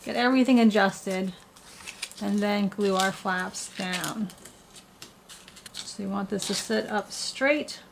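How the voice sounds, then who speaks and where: A woman's voice: mid-range voice, slow pace, gravelly, pauses often, a little hiss or hum. A woman who is getting over a cold, walking through a paper craft tutorial with plain, patient, step-by-step instructions. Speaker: craft blogger.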